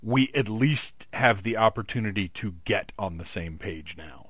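A fairly low-voiced man hosts the interview in an easygoing way, often restarting his sentences as he feels out a question. His voice is close and dry, with little room sound. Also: expressive, normal speed, a bit bright, dry recording. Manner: casual, restarts, searching for words. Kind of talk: conversational interview.

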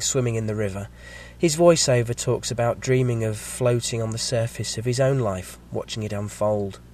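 A man with a slight cold and a croaky voice, hosting a podcast and reading a measured, scripted episode review. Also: mid-range voice, a little mellow. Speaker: podcast host.

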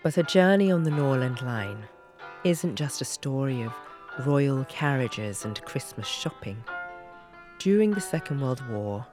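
Mid-pitched narrator over prominent music. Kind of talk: scripted documentary narration.

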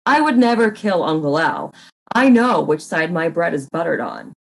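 A woman's voice: fairly low pitch, very animated, moderate pace, gravelly, pitched below the performer's own voice, exaggerated voice, rough recording. A woman playing a flippant, defensive nephew under suspicion in a comic murder mystery reading, talking in a dry, offhand way.

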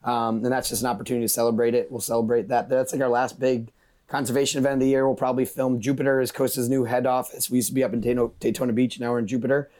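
Man, medium pitch, rapid pace, enthusiastic, informal brand representative, interview conversation. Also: little pitch movement, darkish tone. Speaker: guest, brand conservation representative. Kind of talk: casual promotional interview talk.

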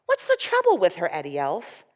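A woman doing a gentle, hushed rag doll. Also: fairly low pitch, wildly swooping pitch, normal speed. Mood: gentle, concerned.

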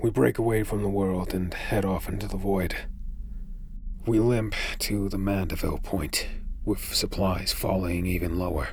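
Low, gravelly space pirate. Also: male voice, slight background noise. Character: weary, sardonic male space pirate narrating his tale. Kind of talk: first-person audio drama narration with acted dialogue. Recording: dramatic music under the voice. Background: music.

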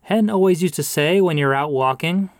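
A booming, pompous pastor preaches in a high, lifted voice, stretching out his words with great self-importance, as a man puts him on. The tone is smooth and resonant, and the recording is dry and close. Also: normal speed, dry recording, lightly acted. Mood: preaching.

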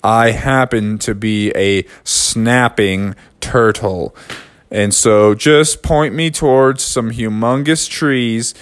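Slow low turtle, as a man puts him on. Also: clean tone, no echo, lightly acted. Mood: shy. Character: shy slow male turtle, friendly.

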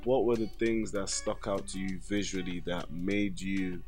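A man's voice: sits low, fairly even intonation, unhurried, a little mellow, faint music behind. A man, speaking conversationally as an interview host.

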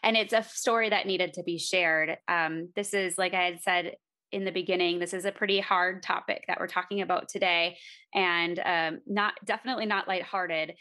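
A woman's voice: fairly low pitch, steady tone, moderate pace, pushed and bright. A woman hosting a podcast interview, talking in an effusive, enthusiastic way with her guest. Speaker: podcast host.

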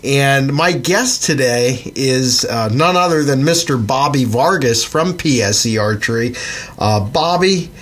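A man's voice: medium pitch, animated, a little slow, a bit bright. A middle-aged man from Pennsylvania hosting a podcast, stuffed up with a summer cold, chatting in a rambling way with many fillers. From Pennsylvania, USA. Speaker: podcast host and magazine editor. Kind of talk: casual podcast chat.

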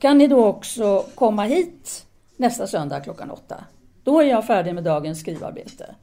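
A woman's voice: mid-range voice, sing-song, dark tone. A woman playing a composed, businesslike teacher and writer in an audio drama, speaking formally and decisively.

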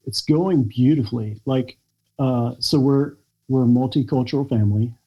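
An American man, a podcast guest, telling a personal family story in a halting, reflective way with many pauses and fillers. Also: mid-range voice, slightly rough, muffled recording. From United States. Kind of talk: podcast interview conversation.

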